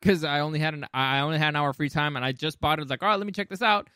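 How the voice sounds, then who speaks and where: A man's voice: on the high side, rapid-fire, pushed and bright, clean tone, dry recording, studio quality. A man co-hosting a casual podcast, giving blunt opinions and swearing freely. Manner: opinionated, profane. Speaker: podcast co-host. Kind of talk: casual chat about film and game adaptations.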